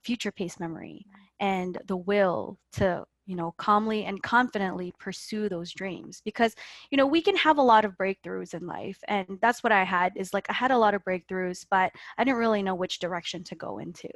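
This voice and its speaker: A woman's voice: mid-range voice, normal speed, dry recording. A woman coach speaking earnestly in a dry, close recording. Her voice sits in a middle register, and she keeps asking "right?" as she explains. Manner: earnest, often tags sentences with "right?". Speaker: guest life coach. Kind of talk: motivational interview talk.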